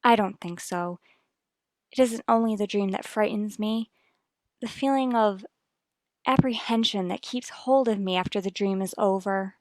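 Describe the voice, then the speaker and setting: A woman's voice: mid-range voice, a little slow, a little mellow, no echo, studio quality, close to an everyday voice. An actress playing an anxious, troubled mother in an old radio soap, speaking earnestly and formally. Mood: apprehensive. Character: anxious, troubled mother and wife, polite and guarded. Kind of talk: mid-century radio soap acting.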